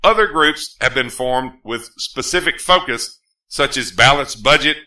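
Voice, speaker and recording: A man's voice: medium pitch, measured pace, bright, forceful tone, rough recording. A man from the United States reading his own scripted political appeal in an earnest, emphatic, rhetorical manner. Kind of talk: scripted political speech, read aloud.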